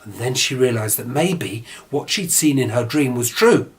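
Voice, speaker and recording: A man's voice: mid-range voice, lively intonation, moderate pace. A man telling a children's fairy tale informally, with chatty, wandering asides. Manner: chatty, digressive, mock-casual asides. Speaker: storyteller. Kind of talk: informal improvised children's storytelling.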